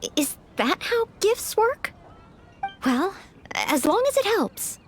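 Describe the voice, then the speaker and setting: An earnest, high-pitched young anime maid. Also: female voice, big pitch swings, slow pace, noisy background, full character voice. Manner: earnest, polite, hesitant. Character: earnest, shy young maid training to be a knight. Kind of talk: anime video game voice acting.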